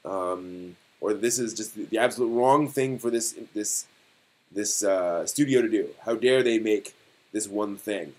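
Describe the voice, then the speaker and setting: A man hosting a casual live stream discussion, thinking aloud in a halting way and posing rhetorical questions. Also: on the low side.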